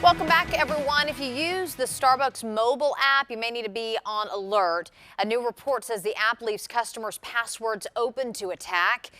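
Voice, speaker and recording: A woman's voice: on the high side, normal speed, hardly any pauses, pushed and bright, soft background music. A woman presenting a television newscast as anchor. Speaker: TV news anchor.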